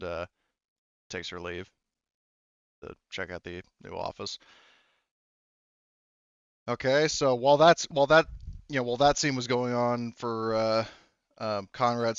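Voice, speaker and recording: Smooth low-voiced man running a game. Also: fairly even intonation, no room sound, lo-fi sound. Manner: halting, casual, repeats phrases. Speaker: game master. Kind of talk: improvised tabletop game narration.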